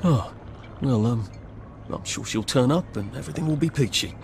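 A man's voice: mid-range voice, animated, moderate pace, gravelly, darkish tone, faint music behind. An actor with a British accent playing an awkward, evasive, self-mocking Englishman in a video game, stammering and hesitant. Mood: uneasy. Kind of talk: naturalistic video game acting.

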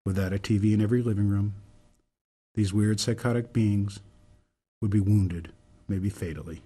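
A man acting in a radio drama as an earnest older reformer, delivering a deliberate, solemn, speechifying monologue. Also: lowish voice, steady tone, mellow, husky. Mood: grave, urgent. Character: earnest older male reformer laying out a grave case.

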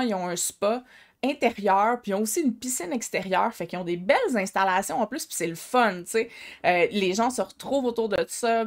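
A woman in her thirties with a Québécois accent, talking frankly and expressively to camera from her living room. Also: medium pitch. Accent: Québécois French. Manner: expressive, gestures with hands, frank and chatty. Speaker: sex coach vlogger. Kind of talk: casual talk to camera. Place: living room at home, indoors.

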